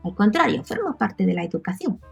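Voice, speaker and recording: A woman's voice: mid-range voice, big pitch swings, a little fast, gravelly, husky, soft background music. A woman in her thirties from Catalonia with a Catalan accent, a native Catalan speaker chatting casually as an interview guest, often adding tag questions. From Catalonia, Spain. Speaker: podcast guest.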